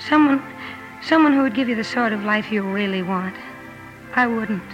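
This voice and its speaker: A woman's voice: medium pitch, normal speed, lo-fi sound, over music, lightly acted. An actress playing a headstrong, earnest young woman writer in a 1950s radio drama, with emphatic delivery. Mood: pained, earnest.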